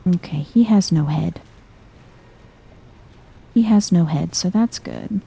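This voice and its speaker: A soft-spoken woman giving hushed ASMR-style game commentary, musing to herself in an unhurried, breathy way and often dropping into a whisper. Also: on the low side, low-quality recording. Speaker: video game streamer, let's player.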